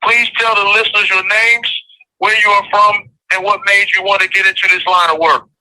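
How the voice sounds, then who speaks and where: Bright-voiced American man hosting a podcast. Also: high voice, little pitch movement, rough recording. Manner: reads a prepared intro in a measured, earnest tone. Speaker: podcast host. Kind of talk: scripted podcast intro, then conversation.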